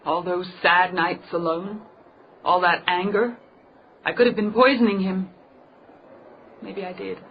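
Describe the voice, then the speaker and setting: A woman's voice: on the low side, steady tone, a little slow, long pauses, lo-fi sound, a little hiss or hum. A woman playing a bitter, brooding middle-aged mother and jilted lover in a radio drama.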